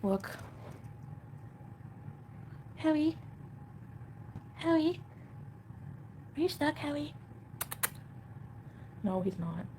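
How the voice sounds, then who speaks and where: Mellow-voiced woman rambling on a livestream. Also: sits high, sing-song, extremely slow, pauses often, slight background noise. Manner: rambling and defensive. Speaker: livestream host. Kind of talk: casual livestream chat reading comments.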